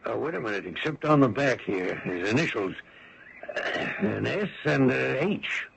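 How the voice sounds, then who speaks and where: Confident needling sort, voice swings up and down with some gravel, folksy, old radio drama, faint noise. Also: male voice, medium pitch, animated, normal speed. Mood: pointed, needling. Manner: pointed, folksy, clipped. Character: confident, needling man goading a sheriff. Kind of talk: 1970s-80s radio drama acting.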